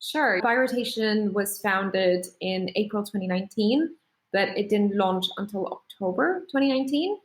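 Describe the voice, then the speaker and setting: A woman from Singapore, a startup founder, telling her story in a measured, articulate way in a podcast interview. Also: mid-range voice, lively intonation, echoey room.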